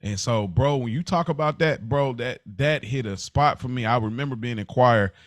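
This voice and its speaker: A man's voice: medium pitch, normal speed, no echo, clean studio sound. A middle-aged American man talking casually and earnestly as a podcast co-host, often repeating words for emphasis. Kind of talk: casual conversational podcast chat.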